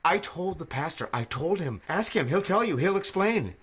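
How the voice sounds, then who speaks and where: A man's voice: on the high side, big pitch swings, fairly bright tone, slight background noise. An actor playing a desperate disgraced company boss, a man who halts and pleads, in an audio drama. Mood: desperate pleading. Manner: halting, stammering, pleading.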